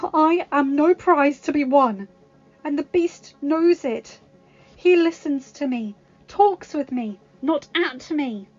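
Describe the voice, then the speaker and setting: A brave, kind-hearted young heroine pleads and stands her ground in a bright, raised voice, as a woman reads her in a storybook. the audio is muffled, with faint music running under the story. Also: normal speed, close to an everyday voice. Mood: defiant. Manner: high, pleading and impassioned. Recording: dull lo-fi sound with music.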